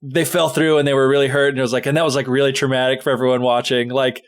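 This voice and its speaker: A man's voice: mid-range voice, level tone, fast talker, clean tone. A man co-hosting a podcast in casual chat, reacting informally with loose phrasing. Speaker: podcast co-host.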